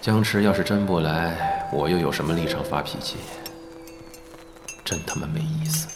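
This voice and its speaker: A man's voice: sits low, normal speed, loud music behind the voice, close to an everyday voice. A man playing a cool, casual celebrity in an audio drama, talking in a dry, wry way. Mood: irritated. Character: cool, casual male celebrity hiding his feelings. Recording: clearly noisy background ambience.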